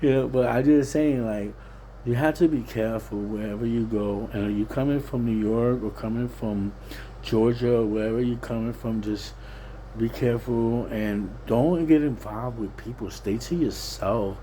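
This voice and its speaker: A man's voice: mid-range voice, normal speed, mellow, slight background noise, rough recording. A plain-spoken, streetwise Puerto Rican man giving a casual, rambling, repetitive monologue. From Puerto Rican, living in Pennsylvania, USA. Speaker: vlogger.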